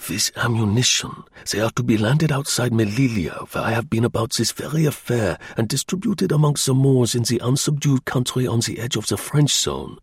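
A man voicing a podgy, aggrieved agent in an audiobook, with an emphatic, slow, pleading delivery. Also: medium pitch, breathy edge, dry recording, studio quality. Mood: eager, scheming. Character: podgy, aggrieved, wheedling male German agent.

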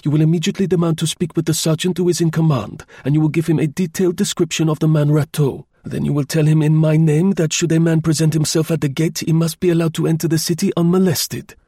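A man's voice: on the high side, steady tone, quick pace, few pauses, mellow, husky, no echo, voice pushed up. A man voicing a masterful, sarcastic agent in command, curt, decisive and dry, in a dramatised storybook. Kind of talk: dramatised audiobook dialogue.

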